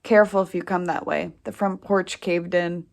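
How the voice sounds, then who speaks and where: A woman's voice: lowish voice, steady tone, moderate pace, gravelly. A woman acting a practical, matter-of-fact sister of few words in an audio play. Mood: practical, cautious. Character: practical, matter-of-fact sister helping search a derelict family home. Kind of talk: naturalistic audio play dialogue.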